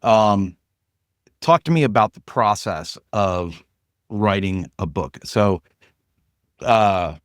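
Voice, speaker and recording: A man's voice: on the low side, slow, long pauses, clean tone, no room sound, clean studio sound. A man hosting a podcast, upbeat and chatty, joking casually with his guest. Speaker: podcast host. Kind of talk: casual interview.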